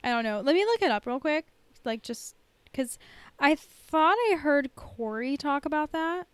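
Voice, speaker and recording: A woman's voice: high voice, expressive, normal speed, dry recording. A woman chatting casually as a podcast co-host, in an informal, hedging way peppered with "like". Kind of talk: casual podcast chat about TV shows.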